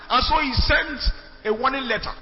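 A man teaching church history in an animated lecture, calling for responses from the class. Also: very high-pitched, moderate pace. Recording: echoey hall, dull sound, faint music.

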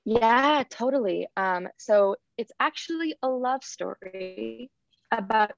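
A woman from Poland, a songwriter, speaks over a video call, warmly enthusiastic as she rambles through her story. Her voice has a creaky, vocal-fry edge. The call audio sounds dry and dull. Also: a little high, expressive, slow, dry recording, faint music behind. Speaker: guest, songwriter. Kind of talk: casual interview talk over a video call.

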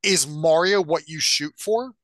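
A man with a slightly high voice co-hosts a relaxed-format podcast. His delivery is informal and conversational, and the recording is very dry. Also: unhurried, no room sound. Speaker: podcast co-host. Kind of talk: casual chat about video game movies.

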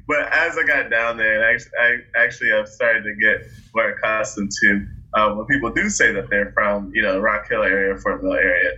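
A man's voice: fairly low pitch, fairly even intonation, normal speed, sharp tone. A man from Charlotte chatting casually, rambling with many restarts and filler words. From Charlotte, North Carolina. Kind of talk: casual chat. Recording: dull lo-fi line.

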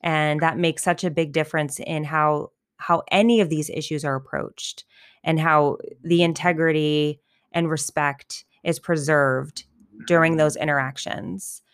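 A woman from New York City, talking earnestly as a guest in a casual conversation, with lots of fillers and restarts, her voice getting a little hoarse. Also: deep voice, steady tone, measured pace, dry recording, clean studio sound. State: losing her voice, coughing.

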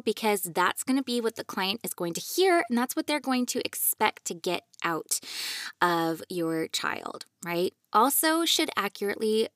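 A woman's voice: mid-range voice, no room sound. A woman hosting a podcast, giving explanatory, conversational advice into a close microphone. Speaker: podcast host and voiceover coach.

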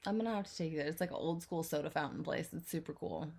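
A woman in her thirties from California, co-hosting a casual podcast, chatty and animated and swearing casually. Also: low voice, fast, a little hiss or hum. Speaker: podcast co-host. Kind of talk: casual chat.

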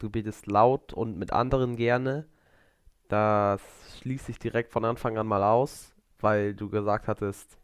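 A man's voice: lowish voice, clean tone, no room sound. Male podcast co-host, joking and thinking aloud, resonant, dry booth. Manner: joking, reasoning aloud while guessing. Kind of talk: casual podcast chat.

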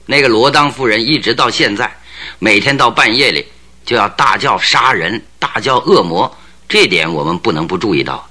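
Shrewd, sharp-voiced radio-drama detective. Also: male voice, on the low side, lively intonation, exaggerated voice. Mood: pointed, assured. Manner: deliberate, probing, theatrical. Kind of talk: Chinese radio drama acting.

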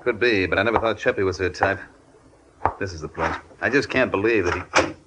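An actor playing a gruff, impatient American crook who talks in curt tough-guy slang, in an old-time radio crime drama. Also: deep voice, a little fast, natural voice. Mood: uneasy. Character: gruff, impatient male crook, scheming and quick to bristle. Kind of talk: 1940s-50s radio crime drama acting. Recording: noisy, crackly old radio recording.

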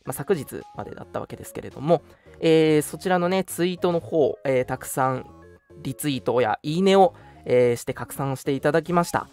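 A man's voice: a little high, a little fast, a little mellow, faint music behind. A young man hosting an online radio show, making announcements in a polite, earnest, conversational way. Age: young adult.

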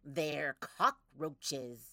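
A woman's voice: low-pitched, extremely slow, heavy background noise, full character voice. An actress playing a commanding adult woman of cold authority in an audio play, speaking in emphatic declarations. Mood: menacing, contemptuous.

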